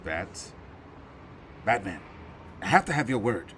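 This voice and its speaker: A man playing a principled police captain in an audio drama, earnest, measured and firm. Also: on the low side, big pitch swings, fairly bright tone, slight background noise, full character voice. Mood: insistent.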